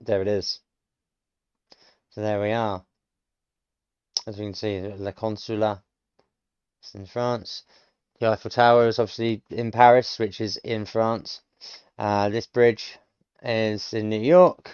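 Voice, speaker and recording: A man's voice: fairly low pitch, a little mellow, clean tone, dry recording, lo-fi sound. Musing British man working through lessons. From United Kingdom. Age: late 30s. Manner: explains each answer step by step, musing, with frequent hesitations. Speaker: self-taught learner streaming. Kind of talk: thinking aloud while working through lessons.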